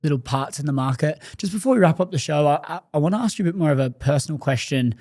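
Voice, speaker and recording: A man's voice: on the high side, lively intonation, fast talker, darkish tone, dry recording, studio quality. Rambling podcast host, a man. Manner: rambling, filler-heavy questions. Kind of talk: casual interview questions.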